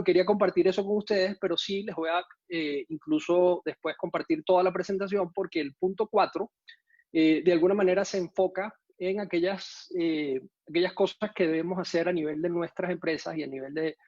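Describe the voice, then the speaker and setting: A man from Venezuela speaks Spanish with a Venezuelan accent touched by Mexican usage, giving a conference talk. His voice sits a bit high, and he often pauses on fillers and restarts his phrases. The sound is dry and somewhat muffled, like a video call. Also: darkish tone, dry recording. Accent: Venezuelan Spanish with some Mexican usage. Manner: hesitant, many fillers and restarts.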